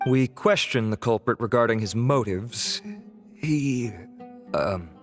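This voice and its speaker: An actor playing a dutiful officer in a video game, giving a formal, measured report. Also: male voice, mid-range voice, lively intonation. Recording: music behind the voice.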